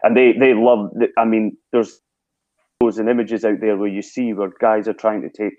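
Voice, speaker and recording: A Scottish man, a guest telling lively stories in a casual interview and acting out his own past thoughts. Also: lowish voice, normal speed, no room sound, dull audio. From Scotland.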